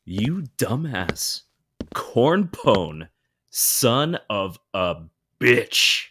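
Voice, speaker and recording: A woman playing a bitter, menacing circus thief villain with seething, drawn-out delivery. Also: extremely low pitch, very animated, background noise, full character voice. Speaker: voice actor in a fan motion comic.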